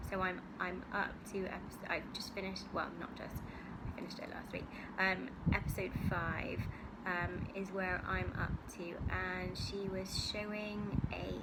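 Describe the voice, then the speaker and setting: A woman from the UK hosting her crafting video podcast from home, chatting casually and rambling with many ums. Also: on the low side. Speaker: podcast host. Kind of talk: casual chat to camera. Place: home in Singapore. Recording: loud background noise.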